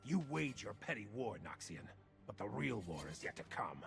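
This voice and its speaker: An actor playing a gruff, world-weary, arrogant archmage in a video game, with gravelly, sardonic, declamatory delivery. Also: male voice, lowish voice, sing-song, normal speed, a bit bright, faint music behind, exaggerated voice. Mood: grave, ominous. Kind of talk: video game character voice lines.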